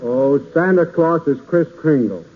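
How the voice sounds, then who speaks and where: A man's voice: on the high side, lively intonation, measured pace, narrow, tinny audio. A man playing the patient, slightly exasperated adult humoring a pestering child in 1930s radio comedy, speaking politely. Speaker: radio show host playing comic straight man. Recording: thin, noisy 1930s radio broadcast.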